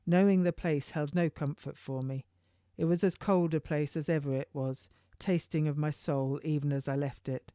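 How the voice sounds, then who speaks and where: A woman's voice: low voice, steady tone, moderate pace, few pauses, mellow, no room sound, clean studio sound. A woman author reading her own historical novel as first-person narration, in an informal read-aloud way with occasional stumbles.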